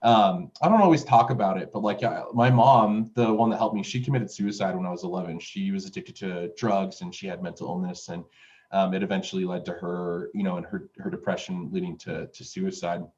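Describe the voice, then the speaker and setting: A man's voice: low-pitched, fairly even intonation, a little fast, darkish tone. A man in his twenties from Utah, a music producer telling his story in an interview, rambling with lots of fillers and restarts. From Utah, USA. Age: 20s. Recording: dull, lo-fi sound.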